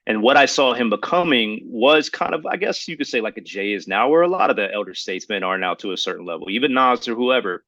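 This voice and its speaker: A man co-hosting a podcast in casual, slangy, opinionated chat, talking in a halting, rambling way and circling back to qualify his points. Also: fairly low pitch, animated, pushed and bright, dry recording, dull audio. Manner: halting, rambling, hedging delivery; slangy, addresses listener as bro. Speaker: podcast co-host.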